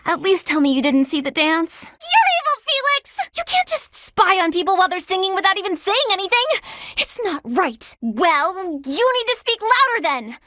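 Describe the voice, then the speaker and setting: A clumsy, excitable young student in a game, high and bright with a pitch that leaps all over. She gets flustered fast and hums silly songs she makes up. The sound is close and dry. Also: no echo, exaggerated voice. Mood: flustered, indignant. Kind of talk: video game character dialogue.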